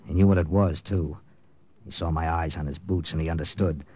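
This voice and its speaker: A man's voice: rumbling low, steady tone, fairly quick, warm tone, breathy edge. An actor playing a tough, terse wartime secret agent captain in a clipped, dramatic 1940s radio style, narrating his mission. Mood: tense, grim. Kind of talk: 1940s radio acting, hard-boiled first-person narration. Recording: old radio recording with music.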